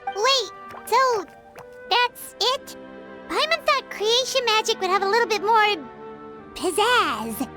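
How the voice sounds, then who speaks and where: A woman voicing a tiny, eager, cheeky fairy companion in an animated game style, with a squeaky childlike voice. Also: slow, long pauses, music in the background, full character voice. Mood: puzzled. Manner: refers to self in third person, exclamatory. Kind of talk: animated video game voice acting.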